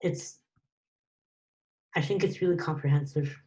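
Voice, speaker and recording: A young woman with a low voice talks casually over a video call. She answers questions loosely and unhurriedly, filling gaps with ums and restarts. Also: measured pace. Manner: relaxed, hesitant with many ums. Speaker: student presenter. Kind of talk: casual online Q&A.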